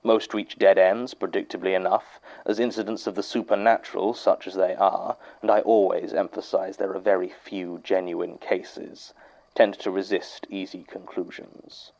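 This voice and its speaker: Low-voiced, pedantic skeptical archivist. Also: male voice, little pitch movement, moderate pace, creaky, darkish tone, rough recording. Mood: dry, exasperated. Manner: formal, dry, precise. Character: weary, pedantic male academic archivist, skeptical and precise. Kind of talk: audio drama monologue, dictating a recorded statement.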